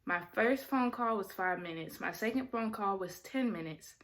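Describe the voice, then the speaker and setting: A woman's voice: medium pitch, expressive, normal speed, a bit bright. A young adult woman vlogging casually, explaining things in a chatty way and correcting herself as she goes. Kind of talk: casual talking-head vlog.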